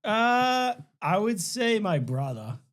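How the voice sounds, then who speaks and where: A man's voice: sits high, sing-song, slow, fairly bright tone. A man with a strong Boston accent, a podcast guest telling stories in loose, profane casual chat. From Massachusetts. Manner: profane, laid-back storytelling.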